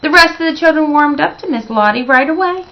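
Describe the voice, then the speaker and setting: A woman reading a children's storybook aloud as expressive narration, with dramatic, emphatic phrasing. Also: sits high, fairly quick, muffled recording.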